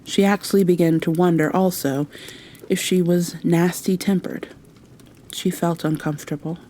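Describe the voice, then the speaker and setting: A woman's voice: lowish voice, steady tone, moderate pace, mellow. A woman narrating a bedtime storybook in an ASMR style.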